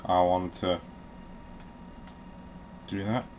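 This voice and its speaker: Low, slow-talking man with background noise. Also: level tone, darkish tone. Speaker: video game show host. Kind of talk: casual gaming let's-play commentary.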